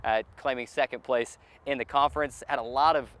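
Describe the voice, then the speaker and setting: A man's voice: medium pitch, steady tone, fairly quick, fairly bright tone. A man hosting a sports show, presenting team updates in a scripted broadcast style outdoors at a stadium.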